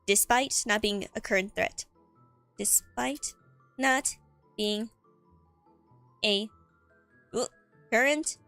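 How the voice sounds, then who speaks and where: A woman livestreamer chatting casually and writing aloud, haltingly repeating words as she goes, her voice a little cracky. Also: a little high, fairly bright tone. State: voice a bit cracky. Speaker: streamer and writer. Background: background music.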